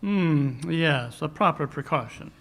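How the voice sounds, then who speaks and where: An actor playing a courteous, precise, dryly witty English gentleman detective in a live radio mystery. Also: fairly high pitch, expressive, slow pace, vocal fry, full character voice. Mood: measured, inquiring. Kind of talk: old-time radio drama acting on stage. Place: live stage performance at a conference.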